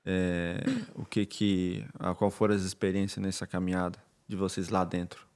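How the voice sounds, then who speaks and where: A man from Brazil hosting a podcast, with a very low voice and a gravelly edge. He asks his guests questions in a quiet, soft-spoken way. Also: flat delivery, normal speed. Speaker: podcast host. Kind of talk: casual interview.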